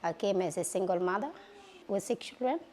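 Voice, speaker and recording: A woman's voice: mid-range voice, animated, fairly quick, darkish tone. A middle-aged woman from Sudan, speaking plainly about her children and community. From South Sudan.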